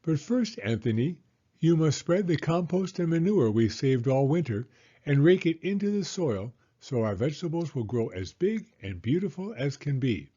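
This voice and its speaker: Man voicing a lilting old village grandmother, high-pitched. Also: very animated, moderate pace, mellow, slightly rough, dull audio. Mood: orderly, instructive. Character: kindly old Italian woman, orderly village healer and gardener.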